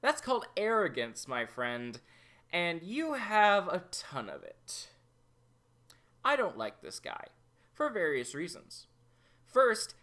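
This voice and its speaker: Bright-voiced man reviewing, slow and animated. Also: a little high. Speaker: host of an animated series review show. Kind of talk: episode review commentary.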